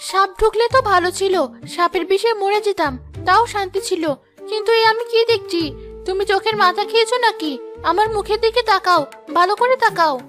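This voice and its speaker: A woman's voice: very high-pitched, a little fast, a bit bright, faint music behind. A woman voicing a vain, melodramatic young village wife in a cartoon moral story, complaining in a whiny, dramatic way. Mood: distressed, whining.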